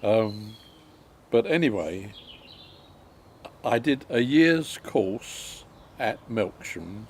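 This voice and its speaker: A man, recalling his life story in a halting way with many pauses and ums. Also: medium pitch, slight background noise. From England. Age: 90s. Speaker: interviewee.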